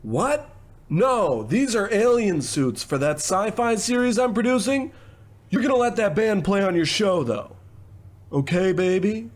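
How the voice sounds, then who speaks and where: A man playing a brash, smarmy, domineering TV producer in a radio comedy, talking smoothly and condescendingly with gushing endearments. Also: high voice, lively intonation, measured pace. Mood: dismissive, pushy. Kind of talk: old-time radio comedy acting.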